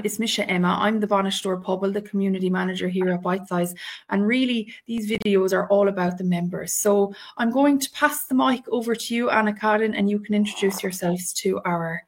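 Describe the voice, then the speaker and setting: A woman's voice: mid-range voice, moderate pace. A woman hosting a member interview as community manager, talking in an upbeat, enthusiastic way.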